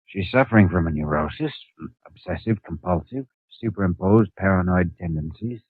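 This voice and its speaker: An actor playing a calm, earnest young male doctor who explains in measured clinical terms, in a 1950s radio drama. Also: low-pitched, breathy edge, no echo. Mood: explaining. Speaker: hospital doctor. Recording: tinny old 1950 radio broadcast.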